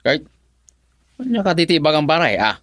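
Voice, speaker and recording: A man's voice: a little high, big pitch swings, moderate pace, a bit bright, no room sound, clean studio sound. An actor playing a joking male friend and drinking buddy who teases his pal, in a radio drama. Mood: teasing. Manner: teasing, laughs often.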